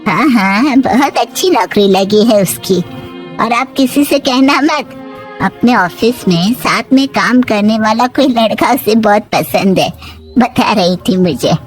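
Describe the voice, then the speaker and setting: A woman voicing a fretful, suspicious middle-aged mother-in-law in an animated moral story, with a grumbling, dramatic manner. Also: a little high, unhurried, faint music behind. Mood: confiding.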